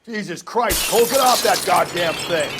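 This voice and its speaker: A man playing a gruff, world-weary middle-aged 1940s American police detective in a video game, wry and cynical. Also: high voice, lively intonation, normal speed, loud music behind the voice. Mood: alarmed, urgent. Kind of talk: dramatic video game acting.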